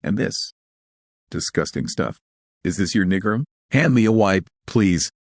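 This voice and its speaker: A man's voice: low voice, animated, measured pace, dry recording, studio quality. A man voicing a blunt, demanding but polite young man in an audio story. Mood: disgusted. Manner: direct, insistent.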